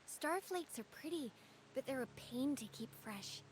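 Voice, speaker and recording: A woman voicing an earnest young female swordswoman in a game, soft-spoken and sincere. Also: high-pitched, animated, a little slow, slight background noise. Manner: earnest and soft-spoken, proud battle cries. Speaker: game character. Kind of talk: game character voice lines.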